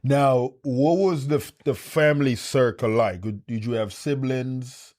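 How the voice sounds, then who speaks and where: Encouraging male podcast host, mid-pitched voice. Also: unhurried, a little mellow. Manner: affirming, constant encouraging backchannels. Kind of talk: casual interview.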